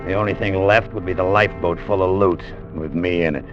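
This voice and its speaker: A man's voice: low voice, fairly even intonation, fairly quick, lo-fi sound, lightly acted. Guy playing a scheming gunman sailor, hard-boiled tense confession, old radio drama with music behind. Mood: cold, calculating. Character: Danny, scheming treasure-mad sailor turned gunman, confessing his crime. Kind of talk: old-time radio drama acting with first-person narration. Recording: vintage radio broadcast with music.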